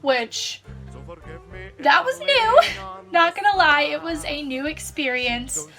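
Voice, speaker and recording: A woman's voice: high voice, animated, takes it slowly, pushed and bright, faint music behind. A young woman, a student actor and choreographer, talking in a chatty, candid way about her show. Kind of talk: casual recorded testimonial.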